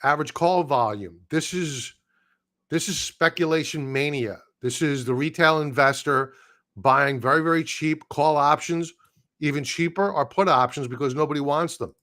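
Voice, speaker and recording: A man hosting a live market stream, talking through charts in a blunt, opinionated way with casual slang, his voice going hoarse and breaking into throat-clearing. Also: a little high, normal speed, fairly bright tone. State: losing his voice.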